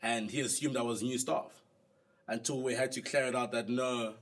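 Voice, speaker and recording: A man's voice: mid-range voice, steady tone, normal speed, fairly bright tone. A young South African man telling a personal story in a casual, slangy way. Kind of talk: documentary interview, telling a story.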